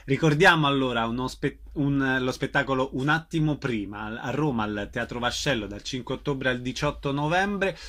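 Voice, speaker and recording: A man's voice: medium pitch, moderate pace, few pauses, pushed and bright. A man hosting a live radio interview. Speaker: radio host.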